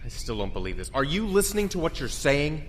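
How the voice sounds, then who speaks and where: A man's voice: a little high, sing-song, brisk. An actor playing a skeptical, combative male survivor of a zombie outbreak in an audio drama. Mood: disbelieving. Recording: reverberant hall, noisy ambience.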